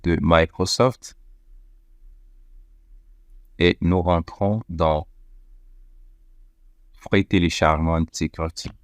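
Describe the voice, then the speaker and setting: Low-voiced guy doing a screen tutorial, slow and step by step, lots of ums, dry close mic. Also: fairly even intonation, clean tone, dry recording. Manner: step-by-step, methodical with frequent fillers. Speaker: tech tutorial presenter. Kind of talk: screen-recorded software walkthrough.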